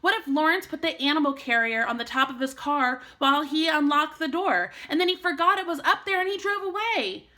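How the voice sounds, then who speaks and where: A woman teacher reading a children's storybook aloud in an expressive way meant for young listeners. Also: high voice, a little fast, few pauses.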